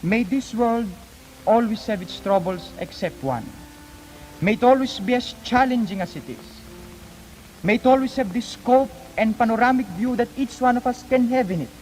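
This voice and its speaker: A young man, an exchange student, delivering an earnest, formal prepared speech in measured rhetorical phrases. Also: extremely high pitch, a little mellow, faint music behind. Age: young adult. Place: large reverberant hall. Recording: 1950s archive, echoing hall, background noise.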